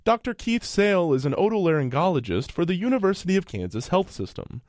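A man's voice: sits high, very animated, quick pace, darkish tone, dry recording. A man reading a scripted radio news report into a studio microphone.

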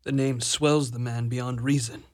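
An actor playing a resentful, plotting Roman senator with formal oratory in a radio drama. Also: male voice, medium pitch, fairly even intonation, unhurried, a little mellow, close to an everyday voice. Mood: conspiratorial. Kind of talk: mock-Shakespearean radio drama acting.

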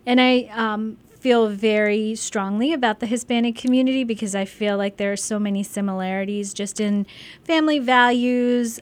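A middle-aged woman from Sri Lanka, a physician and volunteer, answering interview questions in an earnest, enthusiastic way. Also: medium pitch.

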